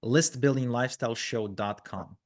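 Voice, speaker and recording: Male guest, mid pitch, animated storyteller, casual filler-heavy interview chat. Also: normal speed. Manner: animated storyteller, lots of fillers and acted asides. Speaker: podcast guest.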